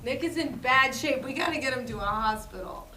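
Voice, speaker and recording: High-voiced woman reading in echoey room. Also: a little fast, bright, forceful tone, slight background noise. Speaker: table read performer. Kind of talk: live comedic script reading. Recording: echoey distant mic, music behind.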